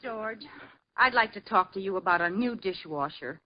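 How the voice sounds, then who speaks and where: Brief: bubbly teasing sitcom wife played by an actress, lively swooping pitch, old hissy radio tape. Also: female voice, muffled recording, natural voice. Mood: coaxing. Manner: teasing, bubbly, quick comic timing. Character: witty playful young housewife. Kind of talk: 1940s radio comedy acting.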